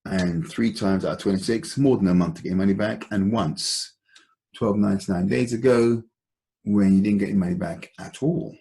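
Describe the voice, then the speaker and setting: A man with a low, gravelly voice gives an informal investment briefing. He explains things conversationally and deliberately, often halting as he goes, in a slightly dark, mellow tone. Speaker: investment presenter hosting a conference call. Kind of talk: informal stock analysis talk.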